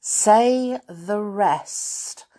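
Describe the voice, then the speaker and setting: A woman voicing a mischievous but helpful young schoolboy in a storybook, earnest and quick to plead or prompt. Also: fairly high pitch, darkish tone, pitched above the performer's own voice. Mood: sly, prompting. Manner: pleading, whispering to classmates. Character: mischievous, helpful young schoolboy pupil, apologetic under threat.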